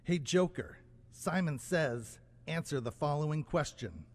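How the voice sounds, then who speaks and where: A man playing a terse, deadpan superhero in an amateur radio play. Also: fairly high pitch, measured pace. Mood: taunting. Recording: very noisy, long reverberant radio sound.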